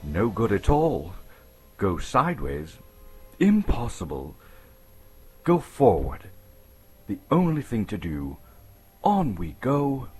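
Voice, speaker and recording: A man's voice: on the low side, huge pitch swings, slow pace, long pauses, darkish tone, slightly rough, faint music behind, voice pushed up, heavily acted. A man voicing a small, frightened hobbit thinking to himself and bracing his courage, in a dramatic storybook reading. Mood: anxious resolve.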